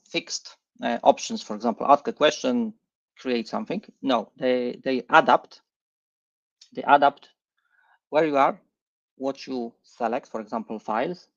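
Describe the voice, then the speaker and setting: Man, middle-aged, Polish accent, vocal fry, halting tech presenter, dull livestream audio. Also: medium pitch. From Poland. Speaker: guest presenter. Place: online livestream session.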